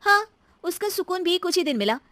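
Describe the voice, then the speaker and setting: Woman playing a shrill, dramatic young daughter-in-law. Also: big pitch swings, brisk, fairly bright tone, no echo, exaggerated voice. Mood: exasperated. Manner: dramatic, grumbling. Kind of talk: animated story dialogue.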